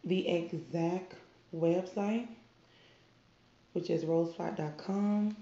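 A woman's voice: on the low side, takes it slowly, vocal fry, warm tone. A woman with a stuffy nose from a cold, chatting to viewers as she unboxes products. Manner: chatty, addresses viewers as "you guys". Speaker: beauty vlogger. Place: indoor room. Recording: muffled, echoey room.

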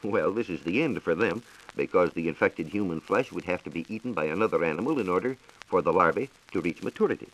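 A hissy 1940s transcription disc carries an American man with a fairly low voice. He lectures formally as a radio science reporter, with lively swings of pitch and declamatory phrasing. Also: quick pace, rough recording. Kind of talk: 1940s scripted educational radio talk. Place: radio studio.

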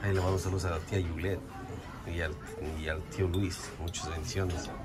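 A man's voice: sits low, level tone, a little fast. A man with a Mexican Spanish accent chatting casually in a restaurant booth, showing food and sending long lists of greetings and blessings. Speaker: vlogger. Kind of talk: casual food vlog chat. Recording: loud music playing behind.

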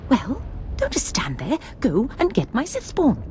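An actress playing a haughty, impatient, power-hungry dark sorceress in a video game. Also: female voice, sits high, wildly swooping pitch, a little slow, a little hiss or hum, full character voice. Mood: impatient, scheming. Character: imperious female Sith sorcerer, obsessive, contemptuous, power-hungry. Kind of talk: video game cutscene acting.

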